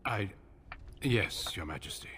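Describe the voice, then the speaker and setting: An actor playing a dutiful warrior commander, sober, measured and grave, in a fantasy video game. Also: male voice, lowish voice, animated, bright, forceful tone, slight background noise. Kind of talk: dramatic video game voice acting.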